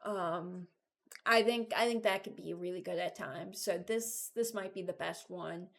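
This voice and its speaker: Casual woman thinking aloud, halting. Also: fairly low pitch, gravelly. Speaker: video creator. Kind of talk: casual commentary, ranking game voice lines.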